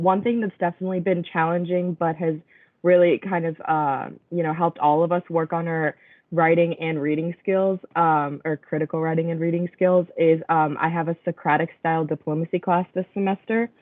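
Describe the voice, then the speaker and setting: A woman's voice: lowish voice, dry recording. A young woman student from Texas answers on a panel, hedging often with fillers. Her voice comes through tinny and narrow, dry as a phone line. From Houston, Texas. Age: young adult. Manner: hesitant, many um fillers.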